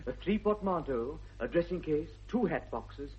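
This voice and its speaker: A man playing a formal manservant with a measured, deferential manner in a radio comedy. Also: fairly high pitch, animated, husky. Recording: dull, faintly noisy 1960s radio recording.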